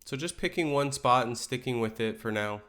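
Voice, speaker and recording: A man leading a guided meditation in a calm, gentle, unhurried way, letting his phrases settle softly. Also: medium pitch. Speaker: meditation teacher.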